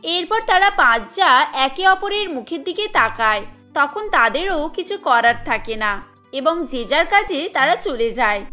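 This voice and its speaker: A woman's voice: sits high, lively intonation, unhurried, bright, forceful tone, soft background music. A woman narrating a scripted family cartoon story.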